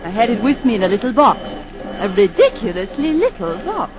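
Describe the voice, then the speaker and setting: An actress playing a warm wife and mother who both narrates and acts, wistful and lightly self-mocking, in a 1940s radio play. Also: a little high, big pitch swings, normal speed, a crowd in the background. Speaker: narrator and lead. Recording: muffled 1940s radio broadcast with music.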